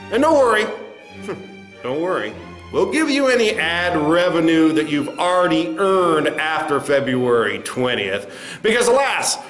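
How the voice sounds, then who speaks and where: A man's voice: high-pitched, fairly bright tone, heavily acted. A man playing a clown corporate spokesman in a comedy sketch, with a mocking, condescending, emphatic delivery. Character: smug, taunting clown corporate spokesman of a video site. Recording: roomy echo with faint music behind.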